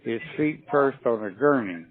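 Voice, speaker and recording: A man's voice: medium pitch, animated, normal speed. An older man chatting on a phone call, telling folksy, rambling stories and answering questions. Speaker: caller on a sales call. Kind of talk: casual phone conversation. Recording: narrow phone line.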